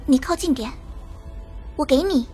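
Very high, plucky young girl. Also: a little slow, mellow, soft background music. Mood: sly, taunting. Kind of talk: Chinese fantasy radio drama acting.

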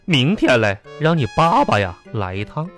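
A man's voice: mid-range voice, sing-song, fairly bright tone, faint music behind. A man playing an exasperated, pedantic primary-school teacher in a comedy radio drama, drawing out words. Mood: instructing. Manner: drawn-out words, scolding and pedantic.

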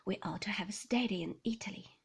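A woman's voice: mid-range voice, normal speed, gravelly, husky. A woman reading the lines of a brooding, curt upper-class man in a bitter, clipped manner. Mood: regretful. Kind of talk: audiobook reading.

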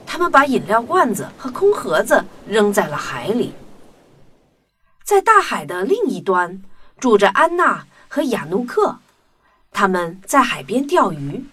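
A woman narrating a scripted children's story in an expressive storytelling manner. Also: medium pitch, a little slow, breathy edge.

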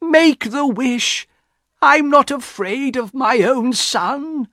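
Someone voicing a high-pitched, desperate old grieving mother. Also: takes it slowly, fairly bright tone, no room sound, studio quality. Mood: insistent, desperate. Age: older. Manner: pleading, urgent, emphatic. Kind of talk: audiobook character acting.

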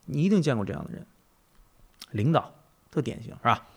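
A man's voice: medium pitch, huge pitch swings, brisk, creaky, husky. A man hosting a podcast, musing philosophically in conversation, emphatic, with repetition and rhetorical questions. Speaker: podcast host.

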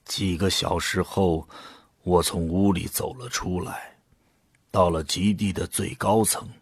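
A man's voice: low voice, steady tone, a little slow, slightly rough, dry recording, studio quality, heavily acted. An actor playing an earnest man who volunteers for a drug trial and tells his own story in a science fiction radio drama. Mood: reflective, subdued. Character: male first-person narrator, anxious but resolute drug-trial volunteer. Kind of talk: Chinese sci-fi radio drama acting and narration.

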